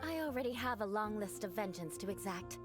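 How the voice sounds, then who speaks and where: A woman's voice: mid-range voice, lively intonation, fairly quick, close to an everyday voice. A woman voicing a proud young noblewoman knight who speaks formally and aloofly, making dry threats of vengeance, in video game dialogue. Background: game music.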